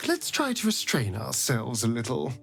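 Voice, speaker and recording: An actor playing a flirtatious, refined vampire man in a video game, with a theatrical, teasing drawl and breathy asides. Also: medium pitch, wildly swooping pitch, normal speed, fairly bright tone, faint music behind, full character voice. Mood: playful, teasing. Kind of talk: video game companion dialogue.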